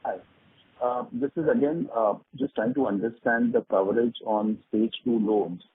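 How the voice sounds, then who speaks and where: A man's voice: medium pitch, moderate pace, husky. A man speaking as an analyst, putting questions on an earnings call. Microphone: phone line. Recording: muffled phone conference line.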